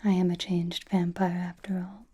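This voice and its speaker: A woman voicing a flirtatious, teasing, possessive vampire in a soft ASMR roleplay, whispering breathily close to the microphone. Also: fairly low pitch, little pitch movement, slightly rough. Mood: tender, flirtatious. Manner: slow, soothing, coy teasing.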